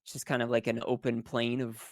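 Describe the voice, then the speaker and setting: A player of a tabletop role-playing game giving a rambling, halting spoken recap in casual language, with wry phrasing and free swearing. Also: mid-range voice, flat delivery, no echo, studio quality. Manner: swears freely, wry and colloquial.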